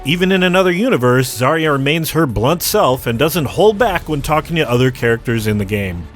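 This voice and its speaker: Animated man narrating a scripted video, music behind. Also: a little high, a little fast. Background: background music.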